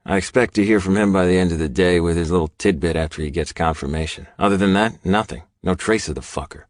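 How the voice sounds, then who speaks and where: A man's voice: deep voice, quick pace, dry recording, clean studio sound, close to an everyday voice. A man voicing a businesslike biker scout in an audiobook, with a clipped, frustrated manner. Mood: frustrated, reporting.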